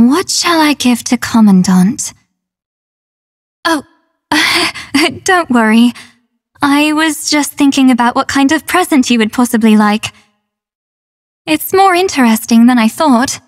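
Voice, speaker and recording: A woman playing a solemn, tender female android soldier in a video game, speaking in a measured, formal, earnest way. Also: sits high, animated, exaggerated voice. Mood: gently amused. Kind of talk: English dub game voice acting.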